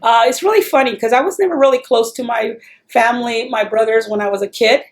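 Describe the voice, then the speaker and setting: A woman's voice: on the high side, quick pace. A woman from El Paso, Texas, talking in an oral history interview in a chatty, rambling way, full of restarts.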